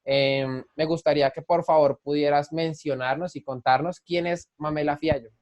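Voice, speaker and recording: A man's voice: fairly high pitch, steady tone, moderate pace, a little mellow. A man hosting an interview in a conversational way, with polite, hesitant phrasing. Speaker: channel host and interviewer.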